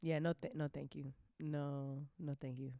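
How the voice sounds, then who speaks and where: A woman chatting casually as an audio drama creator and host, with an animated, sweary, slangy way of talking. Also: deep voice, normal speed, a little hiss or hum. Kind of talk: casual chat.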